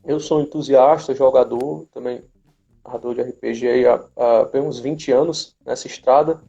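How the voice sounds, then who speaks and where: A man's voice: mid-range voice, steady tone, moderate pace, creaky, warm tone, slightly rough. A man, hosting a casual live chat in an informal, hesitant way on lo-fi audio. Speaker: host, business administrator. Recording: dull lo-fi live stream audio.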